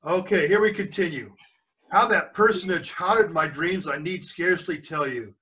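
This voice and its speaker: An older man reading a storybook aloud as a grandfather would, pausing for chatty asides and questions to young listeners. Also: high-pitched, pushed and bright, recorded from a distance, rough recording. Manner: pauses to quiz the listeners.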